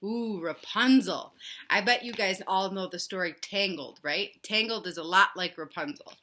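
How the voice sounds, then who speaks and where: A woman reading a storybook aloud for children with expressive narration and chatty asides to her young listeners. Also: mid-range voice, normal speed. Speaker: read-aloud host for children.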